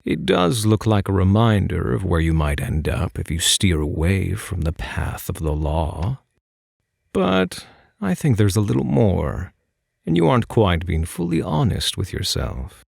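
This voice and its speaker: A man playing a suave, teasing phantom thief in an intimate ASMR roleplay, soft-spoken and coaxing, speaking straight to the listener. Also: sits low, vocal fry, slightly rough, no room sound, clean studio sound, heavily acted. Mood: probing, coaxing. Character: suave, teasing male phantom thief posing as prison guard.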